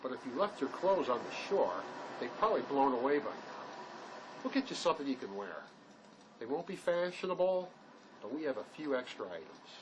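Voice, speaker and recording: An actor playing an earnest, soft-hearted man on the run, plain-spoken and caring, in dramatic dialogue. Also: big pitch swings, brisk, natural voice. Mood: gentle concern. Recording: noisy recording with rumbling ambience.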